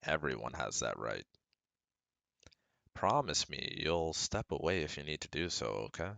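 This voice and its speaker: Very deep, gravelly male best friend, acted. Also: very animated, unhurried, pushed and bright, dry recording, exaggerated voice. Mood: firm, protective. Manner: soothing, earnest, instructive, speaking directly to listener. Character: caring, protective male best friend giving firm advice. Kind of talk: ASMR roleplay.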